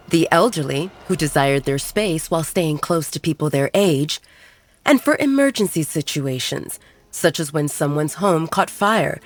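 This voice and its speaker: A woman reading a romance story aloud as a calm, even narrator. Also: low-pitched. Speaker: audiobook narrator.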